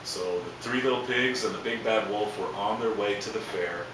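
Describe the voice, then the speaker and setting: A man's voice: lowish voice, normal speed. A man reading a children's storybook aloud as a storyteller, adding asides. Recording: echoey, distant, noisy room recording.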